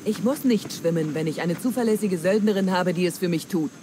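An actress playing a wily, flattering pirate treasure hunter in a dramatic German game dub, with theatrical, coaxing delivery. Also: female voice, fairly low pitch, a little fast, natural voice. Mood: persuasive, pleased. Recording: clear ambient noise.

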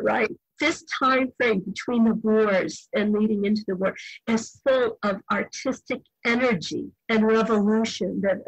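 A woman in her sixties, a novelist, talking as a podcast guest in a lively, storytelling way with emphatic asides. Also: medium pitch, breathy edge, no room sound, rough recording. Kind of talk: podcast interview, relaxed storytelling.

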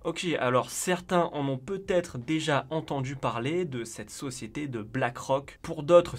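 A man hosting a finance channel, narrating a casual explainer with chatty, joking asides. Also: mid-range voice. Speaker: finance YouTuber.

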